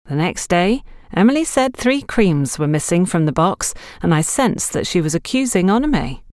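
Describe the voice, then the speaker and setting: A woman's voice: on the low side, very animated, moderate pace, a little mellow, no room sound, studio quality. A woman voicing a suspicious, confiding young female shop worker in an audiobook, telling what they know warily. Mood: confiding, wary. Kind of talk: audiobook character dialogue.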